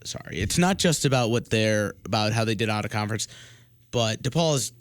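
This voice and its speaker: An American man co-hosting a sports talk show, giving opinions in a casual, halting way. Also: on the low side, animated, no room sound, studio quality.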